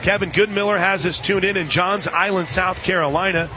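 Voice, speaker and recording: A man calling a softball game live as a radio play-by-play announcer, in a folksy, chatty way with listeners. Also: sits high, fairly even intonation, a little fast. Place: outdoor softball stadium. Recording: loud crowd and outdoor stadium noise. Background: crowd noise, outdoor ambience.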